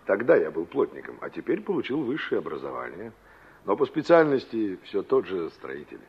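A man's voice: on the low side, huge pitch swings, moderate pace, breathy edge, full character voice. A man acting a young engineer and former carpenter in a 1960s radio drama, conversational and reflective, searching for words as he reminisces. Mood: reminiscing. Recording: dull, band-limited old radio recording.

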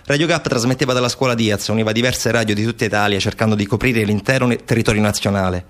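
A man performing a theatrical narrative monologue as a stage storyteller, deliberate and expressive. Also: lowish voice.

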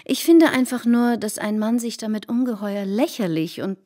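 A woman's voice: on the high side, lively intonation, moderate pace, warm tone. An actress playing a domineering, scheming society hostess in a radio play, insistent and theatrical in her mock concern. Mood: urging. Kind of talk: German radio play acting.

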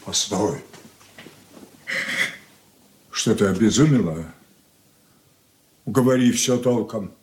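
A man's voice: on the low side, slow, pauses often, darkish tone. An actor in a Soviet radio drama playing a stern, hard merchant father, curt, weighty and commanding.

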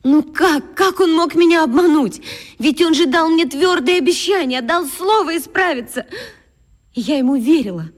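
An actress playing an anxious, soft-hearted schoolteacher who questions herself in agitated short bursts in a children's radio drama. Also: female voice, high voice, animated, normal speed. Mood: indignant, hurt.

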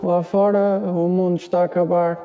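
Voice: medium pitch, level tone, normal speed, phone-quality sound, a little hiss or hum, lightly acted. An actor playing an earnest narrator who addresses listeners in a radio drama.

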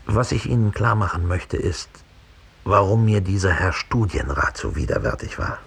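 Hateful former Nazi murderer, deep creaky voice, disdainful confession, deliberate delivery, German radio drama. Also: male voice, lively intonation, full character voice. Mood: proud contempt. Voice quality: gravelly, husky.

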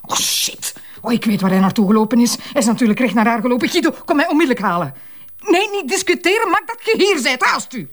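An actress playing a brisk, authoritative female magistrate in a radio drama, with a Flemish accent. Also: sits high, big pitch swings. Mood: urgent.

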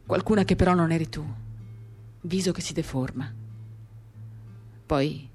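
A woman giving a dramatic reading of a literary first-person monologue, measured and expressive, in short phrases. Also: low voice. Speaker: reader of a novel for radio theatre. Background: acoustic guitar.

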